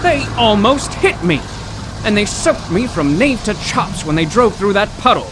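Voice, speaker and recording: Man playing high-voiced, excitable 1930s professor. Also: moderate pace. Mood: alarmed, indignant. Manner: theatrical, wordy, old-fashioned exclamations. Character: excitable, bookish 1930s male poetry professor, stranded motorist. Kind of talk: old-time radio drama acting. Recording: rain and road noise.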